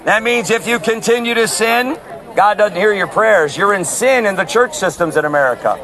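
A man who is a street preacher, talking loudly and insistently outdoors on a city street, recorded on a handheld phone. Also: sits high, normal speed. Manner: loud, forceful, insistent. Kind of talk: open-air preaching and street argument.

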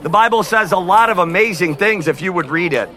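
Street preacher, male, high and forceful, loud, open-air with light traffic hiss. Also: lively intonation, fairly quick. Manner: forceful, repeatedly addressing "neighbors". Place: outdoors on a street outside a concert. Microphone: no amplifier.

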